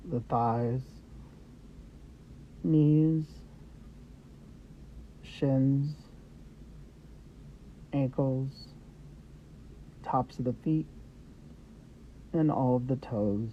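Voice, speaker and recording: Man, meditation guide, slow delivery, gentle short phrases with pauses, slightly muffled lo-fi recording. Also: mid-range voice. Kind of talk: guided meditation, Yoga Nidra. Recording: dull lo-fi audio with background noise.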